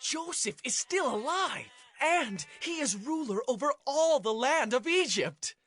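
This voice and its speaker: An actor playing an excited herdsman son bringing news in a dramatized scripture. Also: extremely high pitch, a little slow, slight background noise, full character voice.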